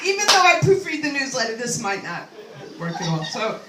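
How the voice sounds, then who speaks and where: Older woman, stand-up comedian, high voice, rambling self-deprecating asides, echoey room, set back from the mic. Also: very animated, a little fast, a crowd in the background. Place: comedy club stage. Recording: echoey room, mic at a distance, faint ambience.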